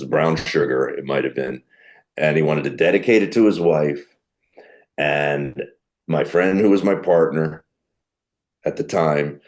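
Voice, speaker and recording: Middle-aged guy with a really deep low voice, comedian telling stories on a podcast, acts out the people he quotes. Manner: animated storyteller who acts out quoted people. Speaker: guest comedian. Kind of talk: storytelling in a podcast interview.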